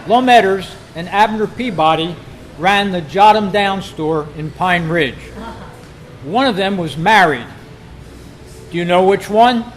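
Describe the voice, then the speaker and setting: A man hosting a club quiz, reading trivia questions out to a group in a deliberate way and repeating them. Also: high-pitched, a little hiss or hum, low-quality recording. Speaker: quiz host at a club meeting.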